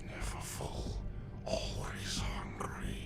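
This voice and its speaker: A man playing an ancient, ravenous alien in a sci-fi audio drama, speaking in a whispery, gravelly growl with slow, terse menace. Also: over music, close to an everyday voice. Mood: hungry menace. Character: ancient ravenous alien feeding on human captives.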